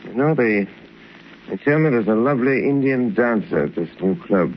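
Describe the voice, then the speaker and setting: An actor playing a suave, wealthy, urbane dilettante in a 1930s radio drama. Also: male voice, mid-range voice, expressive, close to an everyday voice. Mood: urbane, relaxed. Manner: urbane, unhurried, genteel. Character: suave wealthy male dilettante, secretly a crime fighter. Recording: noisy, muffled 1930s radio recording.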